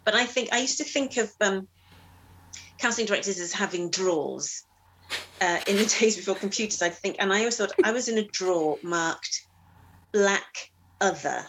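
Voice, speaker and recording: A woman's voice: mid-range voice, lively intonation, moderate pace, a bit bright, slight background noise, low-quality recording. A woman with a Cardiff accent, an actress reflecting in a casual discussion with wry storytelling. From Wales.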